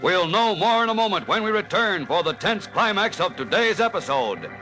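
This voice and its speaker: A man's voice: high voice, big pitch swings, normal speed, pushed and bright, soft background music. An American man narrating a 1940s radio serial in a suspenseful, emphatic, theatrical style.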